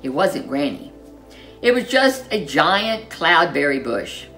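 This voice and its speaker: A woman storyteller reading a children's storybook in an expressive, animated way, with dramatic emphasis. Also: low voice, takes it slowly, faint music behind.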